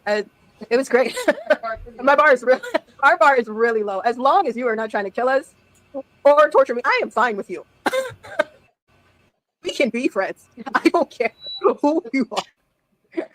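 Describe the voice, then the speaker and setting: A woman's voice: a little high, moderate pace, pauses often, no room sound. A woman casually telling a story on a stream, chatty, laughing often and acting out the dialogue.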